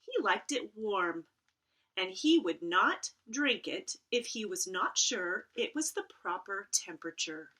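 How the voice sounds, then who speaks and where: A middle-aged woman speaks at an unhurried pace, as a librarian does at storytime. Her pitch sits in the middle range for a woman. She addresses children directly and leans on key words with expressive phrasing. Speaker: school librarian reading aloud to children. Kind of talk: casual chat and read-aloud storytelling for children. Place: at home.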